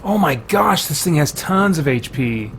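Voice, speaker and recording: A man's voice: a little high, animated, unhurried, a bit bright, soft background music. A man giving casual first-impressions commentary on a video game, conversational and thinking aloud as he goes.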